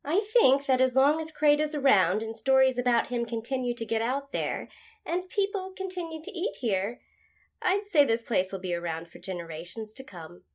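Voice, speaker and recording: Woman acting as higher-voiced head chef. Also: animated, normal speed, close to an everyday voice. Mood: proud. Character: tenacious female house chef being interviewed. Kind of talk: mockumentary interview in an audio drama.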